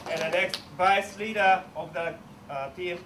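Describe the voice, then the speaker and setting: A man announcing and interpreting at a judo clinic, speaking halting English with many fillers, recorded by a far camera in a large indoor dojo. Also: high-pitched. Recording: distant voice in noisy room.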